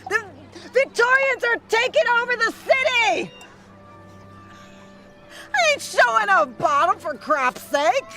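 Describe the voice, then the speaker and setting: An actress playing a drunk, defiant, sardonic 1930s wife in a period radio drama, rambling between mutters and outbursts. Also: female voice, very high voice, lively intonation, slow, long pauses, over loud music, exaggerated voice. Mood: indignant ranting. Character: Ernie Pyle's drunk, ranting, defiant, snobby 1930s wife.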